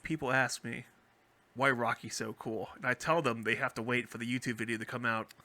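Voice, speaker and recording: A man co-hosting a podcast in animated, slangy, joking casual chat. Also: medium pitch, a little fast, faint music behind. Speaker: podcast co-host.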